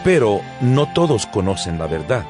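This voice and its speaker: An actor playing an earnest apostle addressing his congregation in a measured, emphatic, didactic dramatized scripture reading. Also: fairly low pitch, big pitch swings. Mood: earnest, instructive. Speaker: narrator of an audio Bible. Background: background music.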